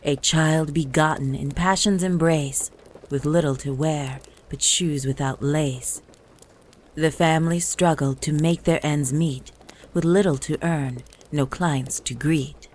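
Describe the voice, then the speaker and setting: A woman storyteller reading a rhyming Christmas poem in a measured, lilting delivery. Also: deep voice, fairly even intonation, darkish tone, a little hiss or hum. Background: music.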